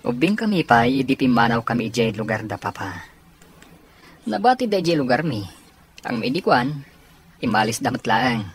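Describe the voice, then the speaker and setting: An actor playing a soldier talking with a comrade in a radio drama, husky, with an Ilocano accent. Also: male voice, medium pitch, sing-song, normal speed, long pauses. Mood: wistful. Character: soldier recalling his long-lost cousin to a comrade.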